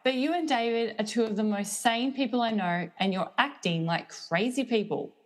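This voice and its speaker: A woman playing a sensible, reassuring mother and friend in a radio play, brisk and casual. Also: fairly high pitch, lightly acted. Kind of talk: amateur radio-play acting.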